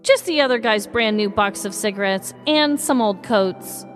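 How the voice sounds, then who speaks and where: A woman acting out a wry store cashier in a podcast story, with emphatic, animated delivery. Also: mid-range voice, moderate pace, lightly acted. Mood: wry, brisk. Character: store cashier, smirking, matter-of-fact bearer of big news. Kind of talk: acted dialogue in a podcast story. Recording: music behind the voice.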